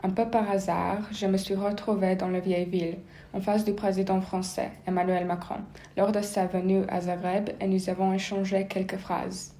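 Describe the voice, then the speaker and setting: Flat-voiced woman in a roomy recording. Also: mid-range voice, moderate pace. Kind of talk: personal testimony. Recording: roomy with faint noise.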